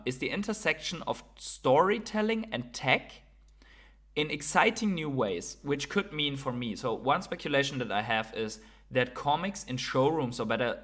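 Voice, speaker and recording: A man's voice: mid-range voice, fairly bright tone. A man hosting a solo video commentary, talking informally and explaining his opinions, often checking in with short tag questions. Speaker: host of a crypto and digital collectibles video channel. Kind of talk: informal solo commentary, speculating and reading out posts.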